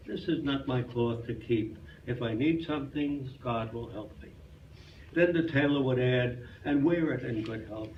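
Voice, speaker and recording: A man's voice: mid-range voice, a little hiss or hum. A man reading a folk tale aloud as a storyteller at a live club performance. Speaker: storyteller at a club reader's theater. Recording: echoey, muffled, distant live room recording.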